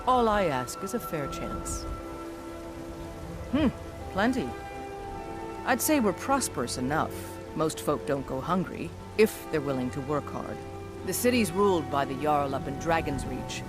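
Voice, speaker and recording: An actress playing a modest, hardworking woman blacksmith in a fantasy game, earnest and plainspoken, with music behind. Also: sits low.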